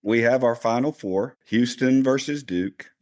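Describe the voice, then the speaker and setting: A man with a cold and a raspy voice, chatting casually as a podcast host about basketball. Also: mid-range voice, unhurried. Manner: relaxed, rambling, many fillers. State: hoarse from a cold. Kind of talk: casual sports chat.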